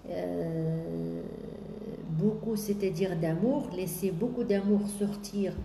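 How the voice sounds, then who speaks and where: A woman business coach giving a sales coaching talk, pausing often on drawn-out fillers and listing points in repetition. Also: fairly low pitch, gravelly. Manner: hesitant, many drawn-out "euh" fillers, repetitive lists. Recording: echoey room with faint background noise.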